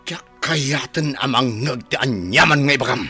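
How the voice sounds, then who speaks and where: A man's voice: medium pitch, unhurried, pushed and bright, husky, soft background music. A man acting an angry, defiant son in a radio drama. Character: defiant, angry grown son snapping at his mother.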